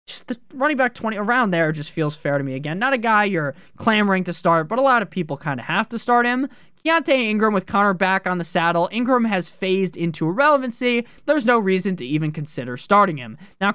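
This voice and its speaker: Smooth-voiced, cussing fantasy football host. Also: male voice, dry recording, clean studio sound. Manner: profane, joking, rambling asides; animated, pitch jumping up on key words. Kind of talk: casual podcast analysis.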